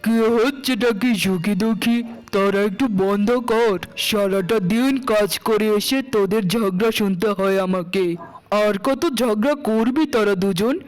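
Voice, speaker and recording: A man's voice: high voice, unhurried, soft background music, poor audio. A man playing a weary, devoted, plain-spoken village father in a children's cartoon. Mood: weary scolding.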